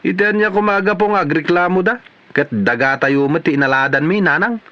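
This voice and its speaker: A man's voice: high-pitched, brisk, pushed and bright, no room sound, lo-fi sound. A man with an Ilocano Filipino accent playing a stubborn, grumbling village man in a radio comedy drama. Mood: defensive, stubborn.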